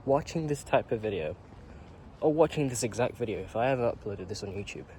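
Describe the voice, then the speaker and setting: A man's voice: on the low side, animated, fairly quick, mellow, a little hiss or hum. Earnest teenage guy musing outdoors. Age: late teens. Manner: rambling, earnest, swears casually. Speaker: YouTuber. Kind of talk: casual reflective monologue.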